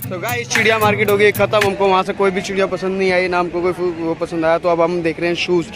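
A man's voice: high-pitched, level tone, fast, music in the background. A young man, chatting casually to his camera while walking through a crowded outdoor market and sprinkling his talk with "like" and "guys". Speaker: vlogger. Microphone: handheld camera. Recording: noisy crowd around.

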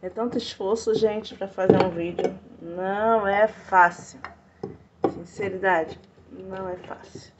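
A woman's voice: mid-range voice, fairly even intonation, slow, noisy background. Woman, 50s, home DIY vlogger, casual and chatty, phone mic, slightly muffled kitchen audio. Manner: chatty, repeats "gente" often, pleading for likes. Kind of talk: casual chatty vlog, talking to viewers. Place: small tiled home kitchen, indoors. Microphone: phone camera held close.

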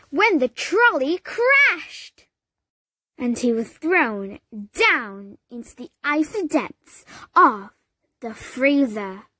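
A woman reading a children's picture book aloud, slow and expressive, leaping up high for the dramatic moments. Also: breathy edge, dry recording. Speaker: storybook reader. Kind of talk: children's read-aloud narration.

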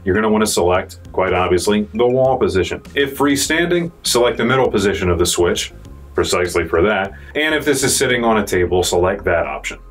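A man's voice: fairly low pitch, expressive, a little fast, faint music behind. A young American man presenting a product review in an informal, salesy way, addressing viewers as guys. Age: young adult. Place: indoor showroom studio, dark backdrop.

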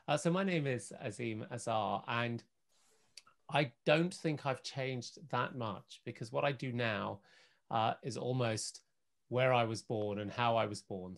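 A man in his forties speaking as a panelist on a video call, measured and articulate with frequent fillers. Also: medium pitch, creaky. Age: 40s. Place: indoor home room. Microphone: video call webcam.

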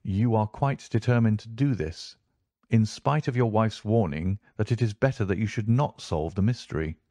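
A man voicing a calm detective who reasons in a measured, composed way. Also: on the low side, no echo, natural voice.

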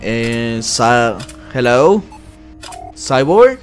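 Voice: medium pitch, very animated, very slow, faint music behind. A performer voicing an anxious, paranoid young male superhero leader in a Minecraft roleplay, worried and hesitant.

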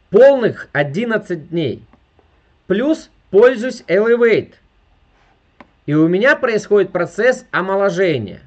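A man in his thirties giving an emphatic sales presentation over a video call, constantly appealing to his viewers. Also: high-pitched. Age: 30s. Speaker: network marketing presenter. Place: indoor room with furniture.

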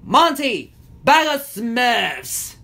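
Woman as evil male wizard, storybook villain, theatrical, cackling, gloating, very animated, swooping pitch. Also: takes it slowly, husky, full character voice. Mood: manic excitement.

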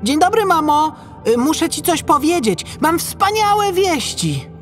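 An eager little boy whale, high-voiced and bouncing up and down in pitch. He is excitable and enthusiastic, a children's audiobook figure with music behind. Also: a little slow. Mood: thrilled. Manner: breathless enthusiasm, pleading.

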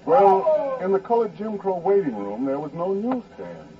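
Muffled old-radio wry American storyteller. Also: male voice, high voice, huge pitch swings, normal speed, exaggerated voice. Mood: wry amusement. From Kansas, United States. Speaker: narrator and essayist. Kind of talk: 1940s radio dramatized first-person narration. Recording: muffled, distant, noisy old radio broadcast.

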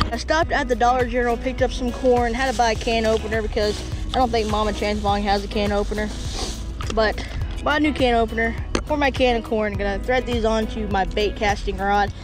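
A woman's voice: a little high, low-quality recording. A young woman vlogging while fishing by a creek, chatting straight to her viewers through a handheld action camera. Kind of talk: casual outdoor vlog talk. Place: outdoors by a creek. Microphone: action camera held at arm's length.

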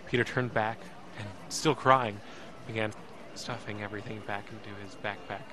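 An actor playing an earnest, emotional young man, narrating an audio drama in the first person with deliberate, dramatic pauses. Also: fairly low pitch, steady tone, noisy background, lightly acted. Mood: tense, dramatic. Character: earnest, emotional young man telling his escape story.

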